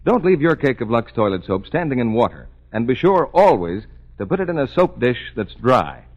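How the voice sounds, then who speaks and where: A male 1940s radio announcer with a medium-pitched, slightly husky voice and lively inflection. He delivers a polished, persuasive sales pitch. The vintage recording sounds dull. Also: moderate pace.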